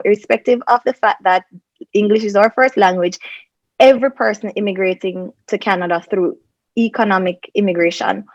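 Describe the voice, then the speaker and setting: A woman gives methodical, step-by-step advice as a panel consultant. Her voice sits at an average pitch and is recorded dry, through slightly muffled video-call audio. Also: no echo. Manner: methodical, step-by-step, emphatic enumerating. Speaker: immigration consultant, panel guest. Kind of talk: explanatory advice in an online panel. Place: small indoor room on a video call.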